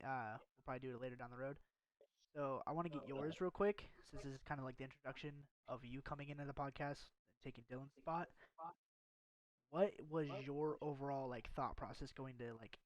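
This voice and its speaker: A man's voice: medium pitch, a little fast, no echo, rough recording. A man hosting a casual esports podcast, laid-back and slangy, calling people dude and bro. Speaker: podcast host.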